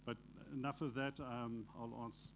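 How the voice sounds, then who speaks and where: A man's voice: medium pitch, little pitch movement, moderate pace, slight background noise. A man, an architect, giving loose, unscripted remarks at a conference, with frequent restarts.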